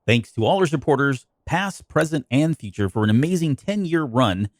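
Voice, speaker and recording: A man hosting a podcast, narrating a scripted review with polished, measured phrasing. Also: mid-range voice, animated, dry recording, clean studio sound.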